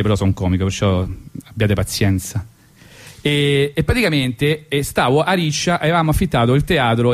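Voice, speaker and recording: A man with a Roman accent, a radio host and comedian telling a rambling story with false starts and asides. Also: medium pitch. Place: radio studio.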